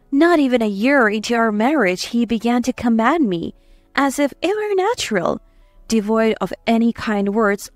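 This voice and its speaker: A woman reading a first-person dramatic story as narrator, in an expressive, emotive way. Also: a little high, no room sound. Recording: dry close voice over faint music. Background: soft background music.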